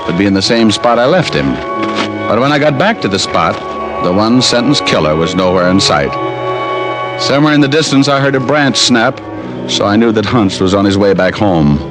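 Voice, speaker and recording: A man's voice: lowish voice, animated, brisk, music in the background, lightly acted, rough recording. An American man playing a wry, hard-boiled private detective who narrates his own case in an old radio drama. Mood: wry narration. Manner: wry, sardonic, flippant. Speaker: lead actor and narrator. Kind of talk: 1940s radio detective acting, first-person narration.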